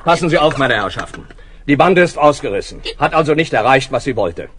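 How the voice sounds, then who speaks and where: Ein Mann spielt in einem Kinderhörspiel einen forschen, befehlsgewohnten Kriminalkommissar. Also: male voice, medium pitch, lively intonation, a bit bright, slightly rough. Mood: businesslike. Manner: brisk, authoritative. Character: brisk, commanding male police inspector. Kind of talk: children's audio play acting.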